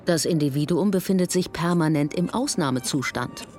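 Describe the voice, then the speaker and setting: A woman's voice: low voice, moderate pace, a little mellow, lightly acted. A woman playing a probing, philosophical chorus voice in a poetic radio play, speaking in clipped aphorisms. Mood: probing, intense. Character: questioning, philosophical female voice of a chorus.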